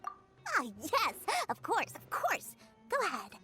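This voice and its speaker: High-pitched, wheedling little merchant. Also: female voice, wildly swooping pitch, bright, forceful tone, faint music behind, exaggerated voice. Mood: boastful, wheedling. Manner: theatrical sales patter, wheedling, drawn-out words. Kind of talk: animated video game dialogue.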